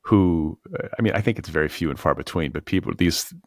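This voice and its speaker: A man's voice: sits low, fairly quick, dry recording, studio quality. An American man hosting an interview podcast in a casual, earnest, conversational way. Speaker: podcast host.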